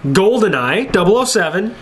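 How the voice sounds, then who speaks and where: Higher-voiced man co-hosting a casual show. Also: sing-song, normal speed. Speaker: co-host of an entertainment show. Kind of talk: casual banter with a co-host. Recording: clearly noisy room recording.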